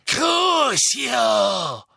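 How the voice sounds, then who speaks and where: A man voicing a cruel, manic dark witch who taunts her captives in a shrill, drawn-out way. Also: big pitch swings, vocal fry, no echo, clean studio sound, full character voice. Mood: shrieking.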